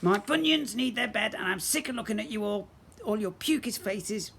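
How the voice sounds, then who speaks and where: Guy doing a grumpy old granny, high voice, barks at everyone, storybook reading, slight noise. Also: expressive, normal speed, gravelly, fairly bright tone, heavily acted. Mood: irritable. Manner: barking, grumpy, blunt. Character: cantankerous, barking old granny.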